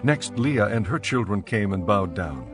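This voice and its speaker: A man narrating a dramatized audio Bible in a measured storytelling manner. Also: on the low side, big pitch swings, music in the background.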